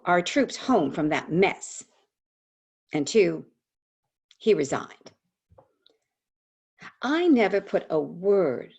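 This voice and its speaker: A woman playing an older advice columnist, tender and frank, in a husky-voiced staged monologue over a video call. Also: on the low side, exaggerated voice. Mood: frank, wry, then reflective, solemn.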